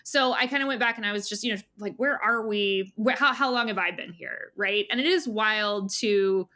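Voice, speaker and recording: Woman editor on a podcast, crisp bright voice, earnest and rambly, keeps restarting her sentences. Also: mid-range voice, normal speed. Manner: rambling, earnest, many hedges and self-corrections. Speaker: magazine editor-in-chief, interview guest. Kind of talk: casual conversational interview.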